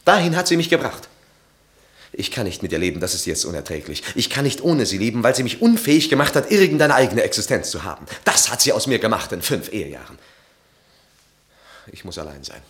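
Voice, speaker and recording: Troubled, lowish-voiced proud husband. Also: male voice, big pitch swings, fairly quick, a bit bright. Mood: anguished, subdued. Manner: formal, earnest. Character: troubled, self-absorbed married man, anguished, proud, easily affronted. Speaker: actor. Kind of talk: German radio play acting.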